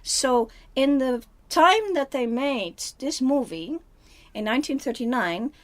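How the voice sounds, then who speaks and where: A Dutch woman with a fairly high voice, speaking English as a second language. She co-hosts a film review in a relaxed back-and-forth, often restarting her sentences as she works out a point. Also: slow. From Netherlands. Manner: thinks aloud with frequent restarts. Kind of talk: casual chat.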